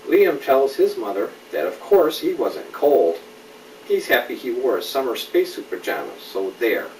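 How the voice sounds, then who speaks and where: Middle-aged man, animated storybook reader chatting to a child; roomy, slightly noisy home recording. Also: mid-range voice, moderate pace, far from the mic. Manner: animated, checks in with questions. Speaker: father reading a storybook aloud to a child. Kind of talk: bedtime story reading with asides to a child.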